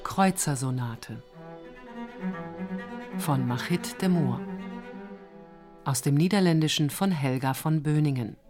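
A woman's voice: low voice, slow, pauses often. A woman reading scripted credits as an announcer. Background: bowed string music.

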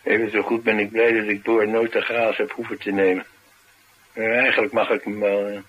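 An actor playing a tough, cynical, streetwise bodyguard in a radio play, talking in a colloquial, matter-of-fact way. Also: male voice, fairly low pitch, little pitch movement, fairly quick, dull audio, slight background noise, close to an everyday voice. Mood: casual, musing. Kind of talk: naturalistic radio drama dialogue.

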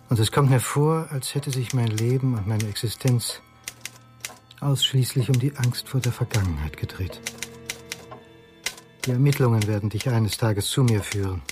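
A man's voice: mid-range voice, natural voice. A man playing a haunted former socialist looking back in a reflective radio drama monologue. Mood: haunted, confessional. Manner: reflective, confessional. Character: haunted male Icelandic former socialist student, confessing.

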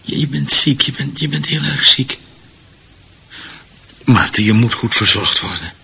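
An actor playing a confused, gentle husband who is shut away, speaking haltingly and repeating himself in a radio drama. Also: male voice, mid-range voice, lively intonation, creaky, slightly rough, heavily acted. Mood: anxious, resigned. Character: confused, gentle confined husband fretting about his garden.

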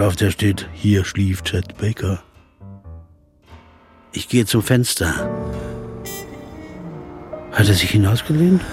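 An actor playing a jazz pianist who narrates in the first person, in a measured, inwardly questioning way. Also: male voice, on the low side. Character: male jazz pianist narrating, wry and curious. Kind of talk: audio drama narration.

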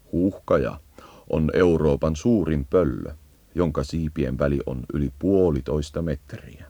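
A man with an extremely low, bass voice narrates an educational nature guide. His tone is dark and mellow, and he explains things in a measured, instructive way. The sound is close and dry, with very little room on it. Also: no echo.

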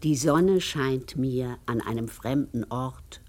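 An actress playing a devout, tender, grieving servant woman in a German radio play, moving between hushed and insistent delivery. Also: extremely low pitch, slow pace, exaggerated voice. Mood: tender, wistful.

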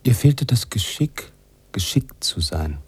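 An actor playing a weary, brooding writer who narrates a literary radio drama in a musing, halting, husky way. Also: male voice, lowish voice, very animated, no room sound, full character voice. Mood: brooding, emphatic. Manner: musing, halting, drops into hushed tones. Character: weary, brooding bearded male writer narrating his story.

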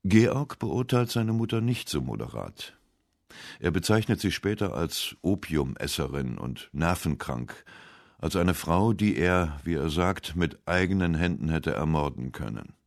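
Male narrator for scripted radio essay, very low register, dry sound. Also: expressive, unhurried, vocal fry, no echo.

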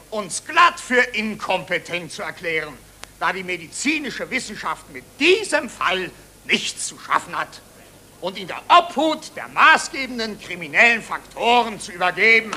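Ein Schauspieler spielt in einem deutschen Hörspiel der 1940er einen wichtigtuerischen, nationalistischen Psychiater, pompös und theatralisch deklamierend. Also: male voice, sits high, sing-song, a little slow, bright, forceful tone, heavily acted. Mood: officious, curt. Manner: pompous, officious, theatrical oratory. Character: bombastic, nationalist German psychiatrist, self-important official. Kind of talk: 1940s German radio play, declamatory speech. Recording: noisy 1940s radio recording.

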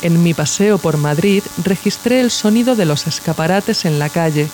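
A woman with an accent from Spain reads a scripted documentary essay in a measured, reflective, formal manner. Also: on the low side, animated, darkish tone, slight background noise. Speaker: podcast narrator and author.

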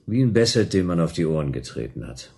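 A man playing a world-weary, dogged private detective in a sci-fi radio drama, with a husky, brooding, terse and wry delivery. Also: sits low, very animated, quick pace, darkish tone, heavily acted. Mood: lonely, wry. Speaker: lead actor narrating and playing a detective. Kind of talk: German radio drama acting, first-person noir narration.

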